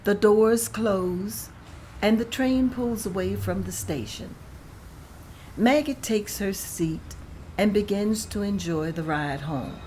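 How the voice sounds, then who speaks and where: A woman narrating a table read in a plain, measured way. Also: mid-range voice, darkish tone, a little hiss or hum.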